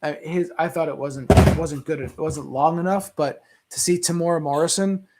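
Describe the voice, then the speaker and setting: Male panelist, higher voice, casual discussion, animated storyteller who acts out reactions. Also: normal speed, slight background noise. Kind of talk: casual movie discussion chat.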